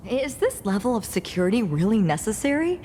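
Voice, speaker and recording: An actress playing an impatient office worker who keeps complaining. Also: female voice, a little high, sing-song, fairly quick, dark tone, in a large hall, slight background noise. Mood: annoyed.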